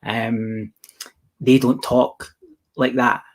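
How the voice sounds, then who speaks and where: A young man from Scotland with a softened Glaswegian accent, answering questions about his business in a casual, rambling way full of restarts. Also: mid-range voice, flat delivery, very slow, creaky. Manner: rambling, many restarts and fillers. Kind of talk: casual Q&A chat.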